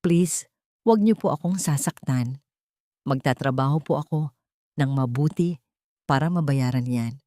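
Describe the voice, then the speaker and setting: A woman's voice: rumbling low, measured pace, long pauses, mellow, dry recording, studio quality. Woman acting a frightened, pleading young woman. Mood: fearful pleading. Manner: trembling, apologetic pleading.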